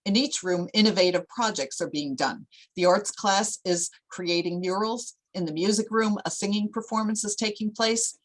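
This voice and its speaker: A woman's voice: medium pitch, normal speed, few pauses, low-quality recording. A woman narrating a screenplay at a table read, reading out scene headings and stage directions.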